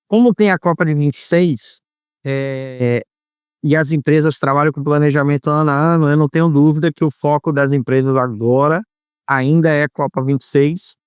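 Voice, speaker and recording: A man from Bahia being interviewed, explaining at length in a measured way with frequent hesitations. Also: on the high side, clear, resonant tone, dry recording, studio quality. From Salvador, Bahia, Brazil. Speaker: former marketing executive, guest. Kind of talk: interview answers.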